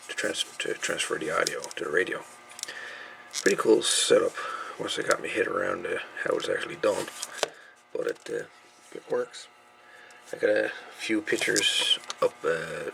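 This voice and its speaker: A man giving a casual, unscripted repair update in a folksy, halting manner. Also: fairly low pitch, creaky, noisy background. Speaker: hobbyist radio repairer presenting own channel.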